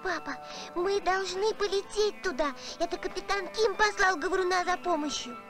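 A woman voicing a brave, curious, excitable little girl space traveller in an animated film, with an eager childlike manner. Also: very high voice, normal speed, music in the background. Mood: earnest. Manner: eager, bright-eyed, childlike. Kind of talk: Soviet animated film acting.

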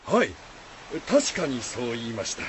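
An actor playing a kindly, composed travelling Buddhist monk in a folktale radio drama, speaking politely and formally. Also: male voice, medium pitch, big pitch swings, lightly acted. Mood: serene. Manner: polite, deliberate, formal speech. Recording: faint background noise.

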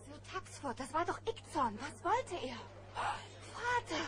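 Eine Frau spielt eine ernsthafte, eifrige junge Prinzessin in einem Kinderhörspiel, höflich und sanft beharrlich. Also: female voice, extremely high pitch, very animated, slow, exaggerated voice. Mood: worried. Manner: polite, gently insistent. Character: earnest, eager young princess. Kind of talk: children's cartoon audio drama. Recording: noisy old cassette recording.

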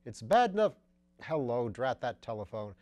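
A man plays a surly, obstructive police inspector in a storybook reading. He grumbles gruffly and morosely, forever put upon and harassed. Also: mid-range voice, a little fast, darkish tone, no echo, natural voice. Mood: harassed, irritated. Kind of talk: audiobook character dialogue.

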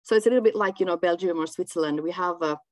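A woman from Finland with Swedish as her first language and a Finland-Swedish accent, chatting haltingly as an interview guest on a video call. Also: fairly low pitch, no echo. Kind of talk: casual conversational interview. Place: small room at home, indoors.

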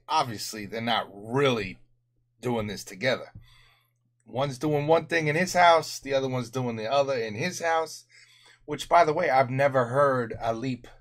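A middle-aged man hosting a music reaction, talking through a headset at home with excited exclamations, his voice worn and going hoarse. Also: mid-range voice. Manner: animated, enthusiastic exclamations. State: losing his voice, clearing throat. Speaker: reaction channel host. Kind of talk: casual music reaction commentary. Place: home room, indoors.

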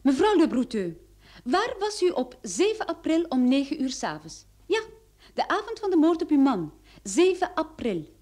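A woman's voice: sits high, sing-song, normal speed, darkish tone. An actress playing a cool female private detective in a radio play, questioning with composed insistence. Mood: composed, firm. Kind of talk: Dutch-language radio play acting.

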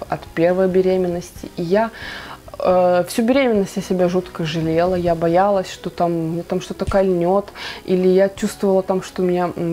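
Young woman vlogging in her bedroom, chatty, answers viewer questions, soft darkish voice. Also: medium pitch. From Kazakhstan. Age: young adult. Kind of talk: casual Q&A vlog. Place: small bedroom indoors.

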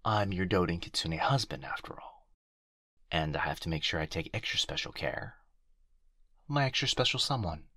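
A man playing an obsessive, doting fox-spirit husband in an intimate ASMR roleplay, speaking softly and tenderly, close to the microphone. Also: deep voice, lively intonation, no room sound, full character voice. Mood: adoring, confessional. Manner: soft, hushed, tender, halting delivery. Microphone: close binaural microphone.

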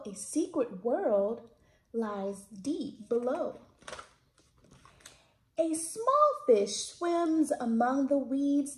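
Woman doing kids storytime, sing-song and expressive, takes it slow, bit of room echo. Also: high-pitched. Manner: expressive, sing-song reading to young children. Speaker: children's storytime host at a library. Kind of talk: read-aloud picture book storytelling for children. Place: indoors, small plain-walled room. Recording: roomy echo from camera mic.

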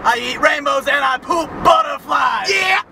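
A man's voice: very high voice, measured pace, gravelly, recorded from a distance, over a noisy crowd. A young American man chatting casually and goofily for a car vlog as co-host. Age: young adult. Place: inside a moving car. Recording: loud music, car noise, off-mic.

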